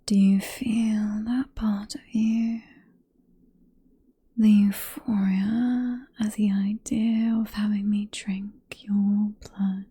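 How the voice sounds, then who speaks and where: A woman with a British accent playing a possessive, seductive vampire professor in a soft, breathy whispered ASMR roleplay, coaxing and teasing with condescending affection. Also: mid-range voice, little pitch movement, takes it slowly.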